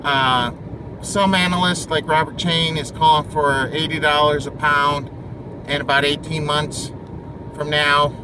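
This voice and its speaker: Higher-voiced man over noisy background. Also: flat delivery, measured pace. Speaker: amateur investor commentator. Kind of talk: unscripted opinion monologue on investing. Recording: clearly noisy, vehicle and outdoor-like background.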